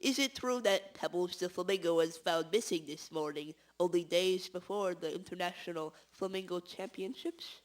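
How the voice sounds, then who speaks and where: Insistent local news hound acted, mid-pitched, hobby radio drama, rough sound. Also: normal speed, few pauses, natural voice. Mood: pressing. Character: pushy, persistent small-town newspaper reporter. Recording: rough amateur recording.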